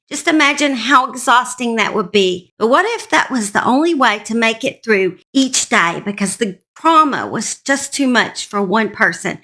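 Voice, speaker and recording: Bright high-voiced Southern US woman. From Southern United States. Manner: earnest, serious. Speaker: YouTube true crime host. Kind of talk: true crime storytelling narration.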